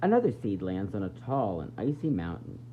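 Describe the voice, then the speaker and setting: A man reading a picture book aloud as a preschool teacher, expressive and explaining things directly to young children. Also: fairly low pitch, brisk. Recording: tinny phone-like audio with faint noise.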